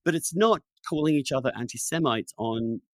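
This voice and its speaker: Man talking in halting, restarting bursts. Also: mid-range voice, lively intonation, dry recording, clean studio sound. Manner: halting, repeats and restarts phrases. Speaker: podcast host. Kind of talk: conversational interview with opinionated commentary.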